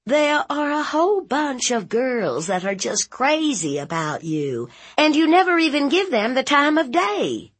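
Woman playing a fierce scolding aunt, high and animated. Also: a little slow, hardly any pauses. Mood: exasperated, concerned. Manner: emphatic, interrogating, folksy and forceful. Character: fierce, scolding Southern aunt, blunt and protective.

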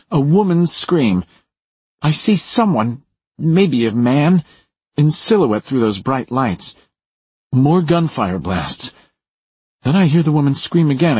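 A man voicing a haunted, defensive woman in an audiobook, urgent and halting, with a raised husky voice. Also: mid-range voice, a little mellow, dry recording, pitched above the performer's own voice, exaggerated voice. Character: haunted, defensive woman recounting a traumatic night. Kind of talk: audiobook character dialogue.

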